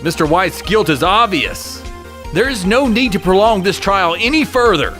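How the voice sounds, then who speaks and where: A man playing an earnest, easily flustered young defense lawyer in an expressive game-fandub style. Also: high voice, normal speed. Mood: insistent. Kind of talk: game fandub courtroom drama. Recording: music behind the voice.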